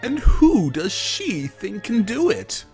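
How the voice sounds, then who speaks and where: A man voicing a mocking, theatrical chaos spirit villain from a cartoon, with taunting, drawn-out words. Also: high-pitched, wildly swooping pitch, a little mellow, soft background music, voice pushed up, full character voice. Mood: mocking glee.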